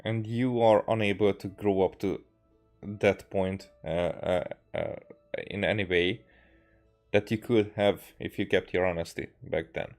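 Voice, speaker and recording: Low-voiced man, halting game commentary. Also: fairly even intonation. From Hungary. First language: Hungarian. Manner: halting, stammering, earnest. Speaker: game streamer. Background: game music.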